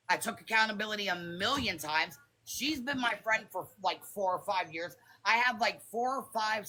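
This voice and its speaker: A woman with a raspy smoker's voice, talking defensively and bluntly on a phone livestream. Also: medium pitch, bright, forceful tone, low-quality recording. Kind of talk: heated live chat.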